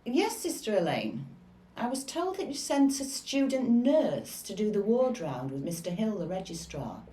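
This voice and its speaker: An actress playing a formal, authoritative hospital matron with a brisk, questioning manner in a scripted drama. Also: female voice, mid-range voice, very animated, warm tone, natural voice. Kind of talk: scripted stage-drama acting. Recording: distant mic in echoey room.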